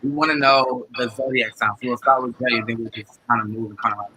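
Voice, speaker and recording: A man's voice: medium pitch, steady tone, brisk. A man chatting casually in a group conversation. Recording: noisy online call, distant sound.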